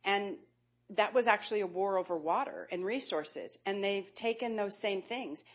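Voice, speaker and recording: A woman's voice: medium pitch, normal speed, breathy edge. A woman in the audience at a panel event, asking a long, winding question in a friendly way over a handheld microphone.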